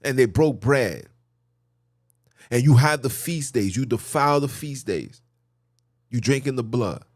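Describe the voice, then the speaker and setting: Animated man preaching with big pitch swings. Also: a little slow, pauses often. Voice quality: voice jumps high for emphasis. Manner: animated, emphatic, repeats phrases, swings between loud and hushed. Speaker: podcast host. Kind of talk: preachy monologue.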